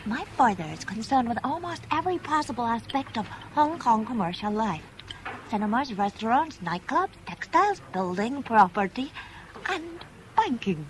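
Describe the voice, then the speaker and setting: A woman's voice: on the high side, big pitch swings, normal speed. Composed woman, noisy old recording. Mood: businesslike. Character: composed, businesslike woman, discreet conspirator. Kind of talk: radio drama acting.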